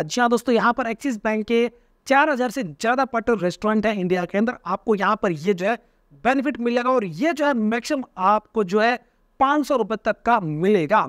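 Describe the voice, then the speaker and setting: A man in his thirties explaining card benefits straight to camera, animated and gesturing constantly, recorded on a lapel mic in a small room. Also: high-pitched, no echo, studio quality. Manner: animated, gesturing constantly, addresses viewers as friends. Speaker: personal finance YouTuber. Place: small indoor room with a floor lamp.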